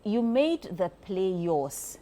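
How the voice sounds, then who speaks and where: A woman's voice: medium pitch, big pitch swings, slow, mellow. A woman with a Ghanaian accent chatting casually as a television interviewer. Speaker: TV interviewer, co-host. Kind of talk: casual chat.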